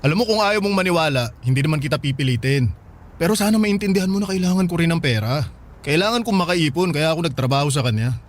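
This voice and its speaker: A man's voice: a little high, expressive, quick pace, lightly acted. A man playing a shy, smitten young Filipino market porter in a radio drama, coaxing and pleading. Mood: defensive, earnest. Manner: pleading, sheepish.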